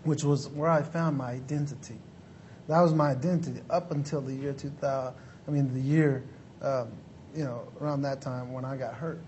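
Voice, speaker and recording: Male guest from Mexico, 30s, earnest speaker, middle pitch, faint rumble. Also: fairly even intonation, normal speed, a little mellow. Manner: earnest, lots of "you know" fillers, polite "yes sir". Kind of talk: interview answers.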